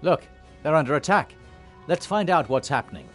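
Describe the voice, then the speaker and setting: An actor playing a calm, firm warrior hero and peacemaker in a fantasy game, speaking in a measured, earnest way. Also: male voice, fairly high pitch, slightly rough, soft background music. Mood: alert.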